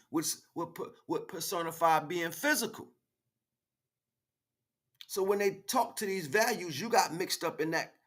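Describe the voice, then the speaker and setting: An American man giving an emphatic, freestyle spiritual monologue in street slang, repeating phrases as he goes, with a husky voice. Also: fairly high pitch, a bit bright. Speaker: spiritual livestream speaker.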